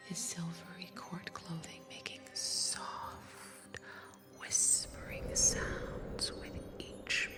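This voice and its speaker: A woman whispering a story in a soft-spoken, hushed and deliberate ASMR style, close to a binaural microphone. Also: on the low side. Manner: slow, hushed, deliberate delivery with careful pauses. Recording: long reverb over music bed. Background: music.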